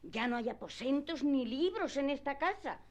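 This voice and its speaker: An actress playing a plain-spoken, flustered country housekeeper in a radio drama, with an emphatic rustic manner. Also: female voice, sits high, expressive, moderate pace, highs cut off. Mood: flustered, insistent. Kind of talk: classic radio drama acting.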